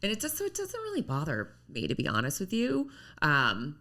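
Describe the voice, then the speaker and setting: This is a woman close to fifty with a bright, slightly lower voice, talking on a podcast. She is blunt and candid, her pitch moving a lot, and she laughs easily. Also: wildly swooping pitch, a little fast. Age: 40s. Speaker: podcast co-host. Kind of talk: casual chat.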